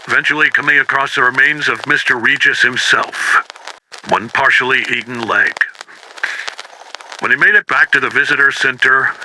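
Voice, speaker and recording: A man plays a grave male investigator dictating an official case report for an analog horror tape. His voice has a very bright, hard edge at a middling pitch. He speaks solemnly and deliberately, over faint radio-like noise. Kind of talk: 1990s analog horror audio log, scripted report. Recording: noisy, harsh-edged radio-like tape recording.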